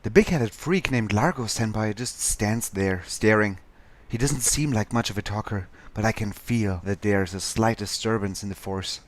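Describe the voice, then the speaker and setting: A man's voice: on the low side, normal speed, faint music behind, lightly acted. A man voicing an angsty, average teenage schoolboy, narrating a visual novel parody with mock-dramatic earnestness. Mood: earnest.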